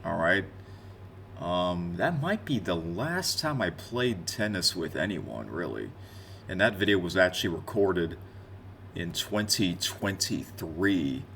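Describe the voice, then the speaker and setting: Low-voiced young guy, casual vlog. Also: very animated, slow pace, creaky, a bit bright, a little hiss or hum. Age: 20s. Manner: rambling, conversational, addresses viewers directly. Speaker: gaming content creator and streamer. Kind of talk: casual unscripted year-in-review vlog talk.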